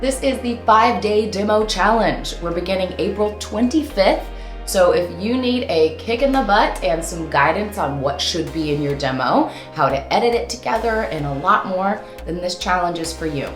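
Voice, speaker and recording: A woman's voice: medium pitch, moderate pace, roomy sound, faint music behind. A woman hosting an upbeat instructional vlog, talking informally and playfully with theatrical, sing-song flourishes. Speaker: host and voice-over coach.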